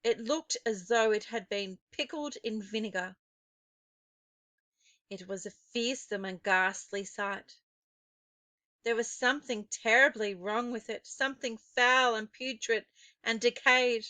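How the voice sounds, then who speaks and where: Female storybook narrator: crisp bright tone, slightly high, deliberate suspenseful phrasing, dry close sound. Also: dry recording. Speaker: teacher reading a children's novel aloud.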